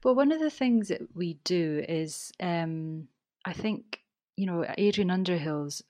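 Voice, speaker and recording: A woman's voice: fairly low pitch, animated, a little slow, dark tone, no room sound. A woman with a Scottish accent, a teacher trainer answering interview questions in a thoughtful way, restarting her sentences often.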